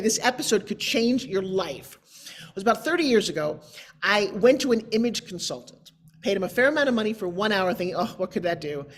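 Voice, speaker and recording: A middle-aged woman from New York, chatting informally and emphatically as a show host on a video call. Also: medium pitch. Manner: emphatic, theatrical, conversational asides. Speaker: show host, motivational speaker. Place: indoor room, on a video call. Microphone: video call microphone.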